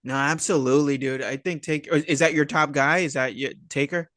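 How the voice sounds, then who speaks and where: A man hosting a casual chat, agreeable and halting, with slangy talk and some swearing. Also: fairly high pitch, fairly even intonation, a bit bright, no room sound, clean studio sound. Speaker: podcast host.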